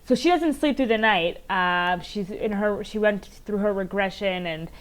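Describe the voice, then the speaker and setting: A woman in her thirties, raised in Maryland, talks as an interview guest from her home over a remote call. She tells her story earnestly at a mid pitch and fills her pauses with "um". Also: rough recording. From United States, Maryland. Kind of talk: conversational interview answers.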